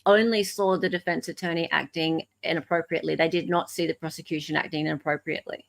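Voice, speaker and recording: A woman's voice: sits low, fairly even intonation, fast talker, gravelly. A woman chatting casually on a discussion panel, repeating her points for emphasis.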